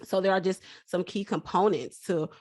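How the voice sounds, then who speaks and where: A woman's voice: fairly low pitch, fairly even intonation, moderate pace, darkish tone, no room sound, studio quality. A woman guest on a faith-based interview, speaking about personal branding in an earnest, encouraging way.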